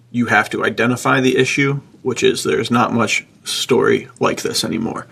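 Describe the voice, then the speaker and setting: A man with a husky, gravelly voice, hosting a casual podcast discussion in an encouraging, opinionated manner. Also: fairly low pitch, a bit bright. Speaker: podcast host.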